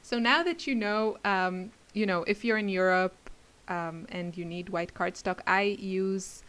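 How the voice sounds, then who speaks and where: Slow-paced rambling, giggly woman chatting. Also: mid-range voice, a bit bright. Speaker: craft video creator and class teacher. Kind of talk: casual rambling chit-chat to camera.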